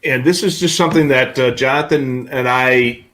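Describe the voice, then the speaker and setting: A man's voice: mid-range voice, normal speed, fairly bright tone. A man co-hosting a live hobby show, explaining products in a casual, conversational way. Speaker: co-host of a live radio scanner show.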